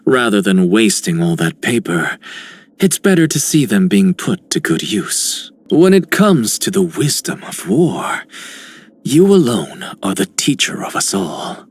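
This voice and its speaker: Aloof game scholar, husky, dry wit, widely swinging intonation. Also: male voice, fairly low pitch, big pitch swings, unhurried, no echo, heavily acted. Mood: dry approval. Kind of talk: scripted game character lines.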